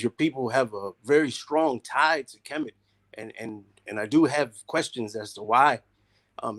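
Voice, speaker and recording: Brief: male caller, mid-pitched, hesitant questioning delivery. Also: a bit bright. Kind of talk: casual chat.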